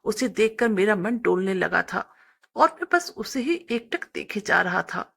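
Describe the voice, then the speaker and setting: Woman narrating with lively, swinging pitch. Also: a little slow, gravelly, breathy edge. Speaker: story narrator. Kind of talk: scripted first-person story narration with acted dialogue.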